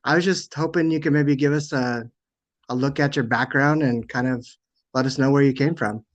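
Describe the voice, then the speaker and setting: A man hosts a podcast interview in an easygoing, friendly manner, filling his pauses with ums. His voice comes through a slightly dull, muffled mic. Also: medium pitch, steady tone. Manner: friendly and easygoing, lots of ums and short backchannels. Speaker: podcast host.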